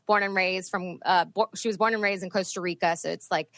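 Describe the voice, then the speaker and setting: A woman from the US East Coast, a medium, talking in a chatty, animated way with frequent laughs. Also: lowish voice, fairly quick, no echo. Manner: chatty, animated, laughs often, many fillers. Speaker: spirit medium guest. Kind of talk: casual podcast interview.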